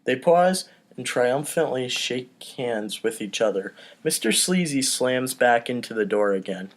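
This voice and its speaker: A man's voice: medium pitch, expressive, a little slow. A man narrating stage directions at an amateur table read in a casual, informal way. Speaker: podcast cast member reading stage directions.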